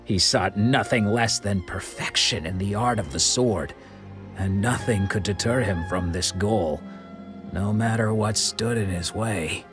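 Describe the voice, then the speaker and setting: A man voicing a middle-aged former sword disciple who tells his past in a measured, reflective way, with soft music behind. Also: fairly low pitch, lightly acted. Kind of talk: video game voice acting.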